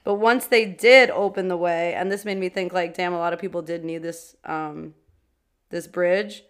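A woman's voice: fairly low pitch, fairly even intonation, moderate pace, clean tone. A woman co-hosting a podcast, chatting informally about history and acting out little quotes as she tells stories. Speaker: podcast co-host. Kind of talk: casual conversational history chat.

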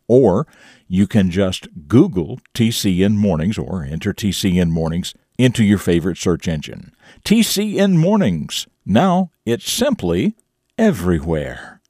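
Animated low-voiced man hosting a morning show. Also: no room sound, studio quality. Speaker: radio show and podcast host. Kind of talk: scripted morning show presenting, addressed to young listeners.

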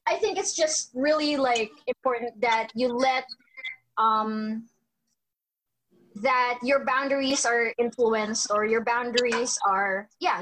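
A woman's voice: fairly high pitch, a bit bright, poor audio. A woman on a panel speaking reflectively and hesitantly in a casual chat, with many fillers and restarts.

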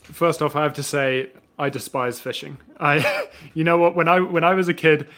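Male guest, New Zealand accent, higher register, sincere and eager. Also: steady tone, moderate pace, fairly bright tone. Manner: earnest, enthusiastic. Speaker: podcast guest. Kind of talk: casual podcast chat.

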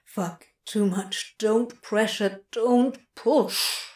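Woman putting on a lanky male demon, stammering, halting, unhurried. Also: on the high side, animated, darkish tone. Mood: awkward. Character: lanky laid-back male demon, awkward.

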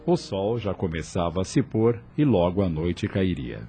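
A Brazilian man narrates a scripted radio drama. His voice is lowish and dark, with lively, varied intonation. Music plays beneath the narration. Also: moderate pace. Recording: music under the voice.

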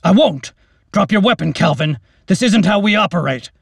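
A man narrator voicing a principled army captain who speaks loudly and gives firm orders. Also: a little high, expressive, a little slow, creaky, no room sound, studio quality, voice pushed up, heavily acted.

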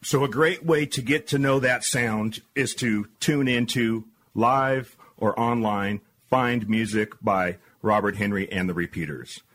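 Man, podcast host, fairly low voice, measured delivery. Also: fairly bright tone. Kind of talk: podcast interview.

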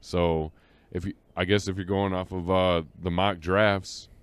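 A man co-hosting a casual sports podcast, with a deep bass voice and a hesitant, measured way of talking. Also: little pitch movement, smooth, dry recording. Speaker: podcast co-host and producer.

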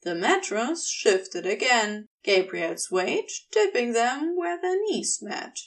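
A woman reading fiction aloud as an expressive audiobook-style narration. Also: a little high, slow pace, far from the mic. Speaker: podfic reader.

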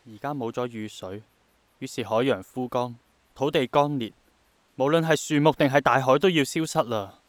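Radio drama lead: male actor as a persistent young idealist, Hong Kong accent, soft earnest reflection, mid-range voice, dry studio-close sound. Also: medium pitch, moderate pace, no echo, natural voice. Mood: wistful, earnest. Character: idealistic, persistent young man longing for rain to return.

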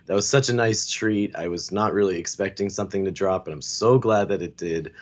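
A man hosting a casual podcast chat, his voice a bit raspy, rambling loosely with plenty of fillers. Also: low voice, brisk. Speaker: podcast host.

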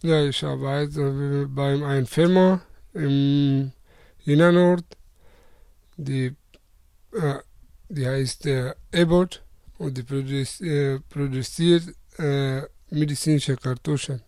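A young man in his twenties from Afghanistan who answers interview questions haltingly, searching for words and restarting often. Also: mid-range voice, steady tone, mellow, dry recording. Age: 20s.

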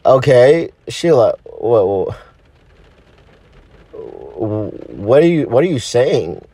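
A weepy, sappy boyfriend played by a comedy performer in a melodrama parody. He pleads haltingly and repeats himself in a whimpering male voice, slow and close to the listener in a dry space. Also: mid-range voice, very animated, mellow, breathy edge, no room sound, exaggerated voice. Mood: pleading, confused. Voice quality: whimpering, crying-sounding. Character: Roger, needy, weepy, sappy male lover. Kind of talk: improvised parody of romantic melodrama.

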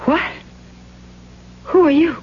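A woman's voice: sits high, slow, a little hiss or hum. An actress playing a curious, polite young woman in a 1970s radio drama, with a hesitant, wondering delivery. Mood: startled, wary. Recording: muffled 1970s radio broadcast.

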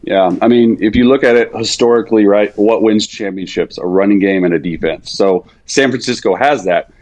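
A man in his thirties, a football quarterback, talking casually as a podcast guest, with a relaxed, conversational manner and frequent fillers. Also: fairly low pitch, normal speed, no echo. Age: 30s. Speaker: guest, NFL backup quarterback.

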